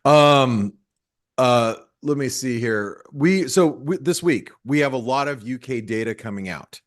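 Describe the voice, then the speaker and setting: A man co-hosting a webinar, talking casually about markets in a relaxed, rambling way with many fillers. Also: fairly low pitch. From Taiwan-born American. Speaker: webinar co-host.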